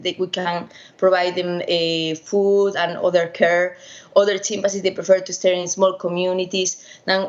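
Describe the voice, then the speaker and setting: A middle-aged woman with a Spanish accent, a veterinarian answering interview questions haltingly over an internet call. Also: on the low side, muffled recording. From Spain. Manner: halting, many restarts and fillers. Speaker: veterinarian and primatologist guest. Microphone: video call.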